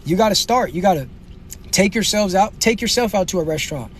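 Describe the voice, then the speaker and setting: A man's voice: high-pitched, expressive, brisk, a little hiss or hum. A young man hosting a comedic livestream, trash-talking commenters in brash, slangy bursts. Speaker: livestream host.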